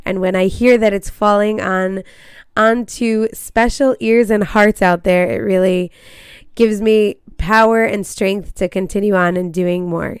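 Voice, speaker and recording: A woman's voice: medium pitch, smooth, no room sound, clean studio sound. A woman hosting her own podcast as a marriage coach. She talks in an earnest, encouraging way while congested with a cold. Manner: earnest, encouraging, slightly apologetic. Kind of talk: casual podcast monologue.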